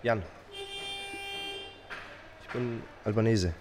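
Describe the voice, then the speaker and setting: A male actor playing a naive, earnest fifteen-year-old Albanian shepherd boy with simple, halting speech in a German radio drama. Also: on the low side, fairly even intonation, noisy background. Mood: shy, hesitant.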